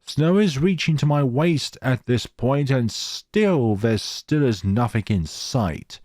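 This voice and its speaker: A man's voice: medium pitch, expressive, slow, darkish tone, no room sound, clean studio sound. A man hosting a gaming let's play, reading story text aloud dramatically and dropping in casual asides. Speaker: gaming channel host.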